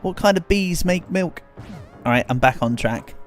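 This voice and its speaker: A man's voice: high-pitched, animated, moderate pace. Stuffy-nosed guy rambling through a game stream, self-deprecating, faint music behind. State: congested, feeling a cold coming. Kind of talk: casual game livestream chat.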